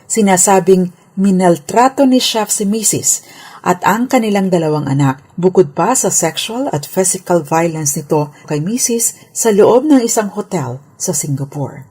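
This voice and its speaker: A woman's voice: fairly low pitch, expressive, measured pace, dark tone, husky. Filipino woman explaining law, formal and polite. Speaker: lawyer giving legal advice on radio. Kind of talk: scripted legal explainer for radio.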